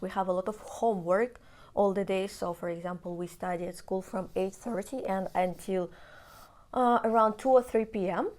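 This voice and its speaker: Chatty Russian-accented young woman. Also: mid-range voice. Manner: chatty, explanatory, with frequent restarts. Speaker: vlogger. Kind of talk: casual personal talk to camera.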